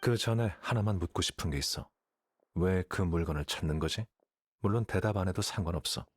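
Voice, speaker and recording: A man playing a calm, aloof, calculating young scholar in a video game, explaining things in a composed, matter-of-fact way. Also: deep voice, fairly quick, husky, dry recording. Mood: calm, detached. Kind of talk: scripted game dialogue, Korean voice acting.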